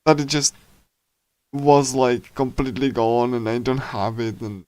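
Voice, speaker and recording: A man livestreaming in a casual, rambling chat style, speaking haltingly with lots of filler words. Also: mid-range voice, fairly even intonation, darkish tone, no room sound. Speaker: livestreamer reading a visual novel.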